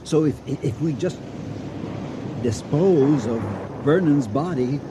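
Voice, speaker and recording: High, animated husband in radio thriller. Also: male voice, takes it slowly, noisy background. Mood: agitated, insistent. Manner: emphatic, theatrical, insistent. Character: domineering, excitable husband rationalizing a grim crime. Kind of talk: old-time radio thriller acting.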